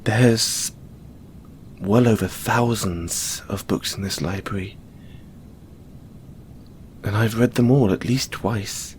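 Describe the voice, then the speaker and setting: Man playing a slow-spoken, shy owl boy librarian. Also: fairly low pitch, slight background noise. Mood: bashful, earnest. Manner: soft-spoken, hesitant, gently bashful. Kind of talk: audio roleplay addressed to the listener.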